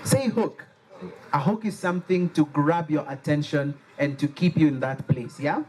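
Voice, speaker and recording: Man, Liberian accent, slightly high voice, playful call-and-response workshop leader. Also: moderate pace, a little hiss or hum. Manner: animated, playful, has the audience repeat words. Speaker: workshop facilitator. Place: workshop room with a live audience.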